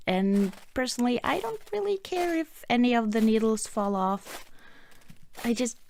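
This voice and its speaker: A woman with a Norwegian accent playing a cheerful, affectionate girlfriend in an ASMR roleplay, soft-spoken, chatty and a little hesitant. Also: a little high, animated, normal speed, vocal fry, slight background noise. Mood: chatty, musing.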